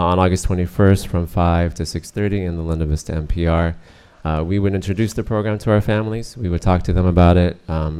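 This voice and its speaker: Low smooth monotone guy presenting to a school board, lots of uhs. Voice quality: monotone, many uh fillers.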